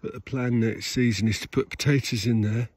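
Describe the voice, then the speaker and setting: A man giving a relaxed, rambling outdoor tour of his smallholding, filmed on a handheld phone. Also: mid-range voice, fairly quick, breathy edge, dry recording. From Scotland. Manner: relaxed, rambling, with restarts and fillers. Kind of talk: casual walking tour chat. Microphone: handheld phone camera.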